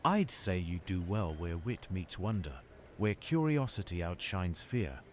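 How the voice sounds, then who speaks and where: Man voicing an ancient, very deep magical talking hat. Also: very animated, a little mellow, slight background noise. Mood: knowing, musing. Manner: slow, musing, theatrical. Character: ancient sentient magic hat, musing and knowing. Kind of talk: audio drama acting.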